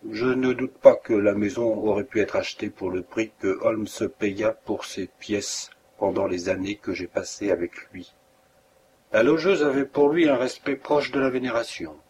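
Man, low voice, audiobook narrator, measured literary reading, faint background hiss. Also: a little mellow. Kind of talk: scripted narration.

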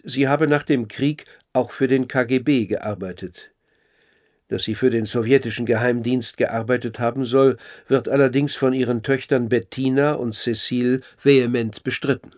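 Documentary radio narrator, male, average pitch, measured and sober scripted delivery. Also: darkish tone.

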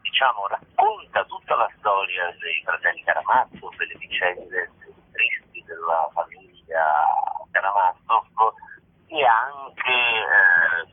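A man's voice: low-pitched, breathy edge. A man answering as a guest in a radio interview, explaining at length over the telephone. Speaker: interview guest, theatre director. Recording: tinny narrow-band phone line.

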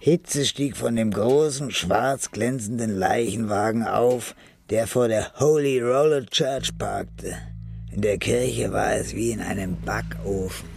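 A man narrating a scripted radio crime drama in a measured, matter-of-fact way. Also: fairly low pitch, vocal fry, faint music behind.

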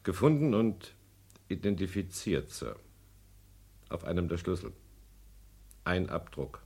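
Husky, measured police detective. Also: male voice, low voice, sing-song, a little mellow, full character voice. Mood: matter-of-fact. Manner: measured, formal, dutiful. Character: stern, regretful male police detective. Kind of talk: German crime radio play acting. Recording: husky-sounding vintage radio play recording.

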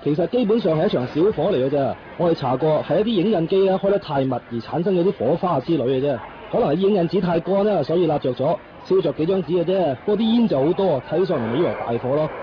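Actor as fast, high-voiced Hong Kong fire captain. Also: male voice, animated, natural voice. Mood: dismissive. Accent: Hong Kong Cantonese. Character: male fire brigade captain, brisk, dismissive, downplaying. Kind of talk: 1990s radio drama acting. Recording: muffled radio recording with clear background noise.

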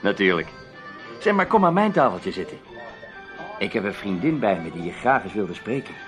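With faint music behind, a brisk newspaperman in a radio play. His mid-pitched voice moves widely, staying commanding, deliberate and self-controlled. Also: sing-song, fairly bright tone. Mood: coolly casual. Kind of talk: radio drama acting.

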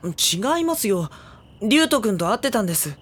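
An actress playing a mild-mannered, introspective teenage schoolboy in an audio drama, speaking politely and with understatement. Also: female voice, mid-range voice, big pitch swings, normal speed, husky. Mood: light, polite. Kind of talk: Japanese audio drama acting with inner monologue.

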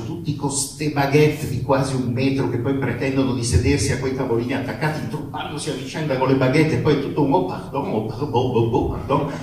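A man's voice: mid-range voice, darkish tone. A man giving a comic reading of a humorous letter in a wry, colloquial manner. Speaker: reader at a live book reading. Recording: very echoey room, distant mic, faint noise.

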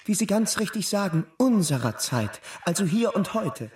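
An actor playing a deferential, wordy moderator of a literary panel in a radio play. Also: male voice, high-pitched, big pitch swings, normal speed, a little mellow. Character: polite, fussy male moderator of a literary panel. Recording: roomy, distant from microphone.

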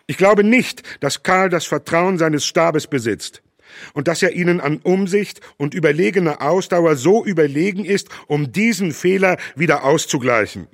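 A man playing a worried, stern businessman reading out his letters in a measured, formal way. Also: fairly high pitch, dry recording, clean studio sound. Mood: agitated, critical.

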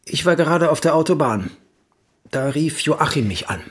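An actor playing an easygoing, evasive writer in an audio drama, with a casual, naturalistic delivery. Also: male voice, on the high side, lively intonation, normal speed, husky. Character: easygoing, evasive male writer, live-in boyfriend.

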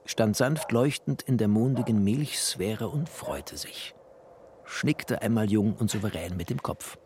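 A man's voice: lowish voice, unhurried. A man narrating expressively in the first person, literary in style, with a wry, theatrical manner.

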